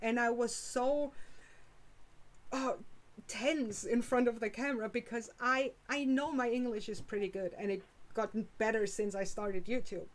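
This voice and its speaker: A woman's voice: on the high side, normal speed. A German woman with a German accent, chatting candidly as host of her own stream. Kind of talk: casual chat on a stream.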